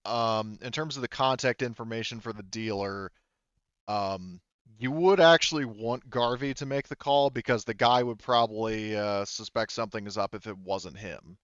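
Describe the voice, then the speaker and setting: A man's voice: fairly low pitch, normal speed, fairly bright tone, clean tone, dry recording, lo-fi sound. A man running a tabletop roleplaying game, explaining details to his players in a clarifying way. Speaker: game master.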